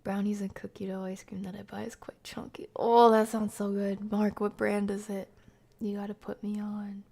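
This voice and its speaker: A woman live streamer chatting casually with viewers, soft-spoken and often slipping into a breathy near-whisper. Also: mid-range voice, completely flat delivery, normal speed. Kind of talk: casual livestream chat with viewers.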